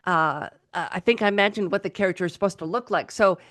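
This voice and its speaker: A woman's voice: fairly low pitch, quick pace, fairly bright tone, dry recording. A woman hosting a podcast, asking questions in a casual, conversational interview style. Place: remote video call.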